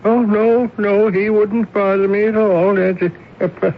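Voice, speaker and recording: A man's voice: high-pitched, fairly even intonation, moderate pace. An actor playing a kindly, lonely, befuddled older chairman who stammers genially, in a 1970s radio drama. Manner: dithering, stammering, genial, chuckling. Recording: muffled, noisy old radio broadcast.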